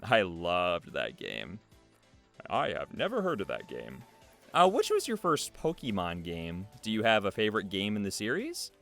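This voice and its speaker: A man's voice: lowish voice, wildly swooping pitch, normal speed, vocal fry, fairly bright tone. A man hosting and reading out an interview in an upbeat, scripted narration style, often chuckling between lines. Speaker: host reading an artist's written interview answers. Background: background music.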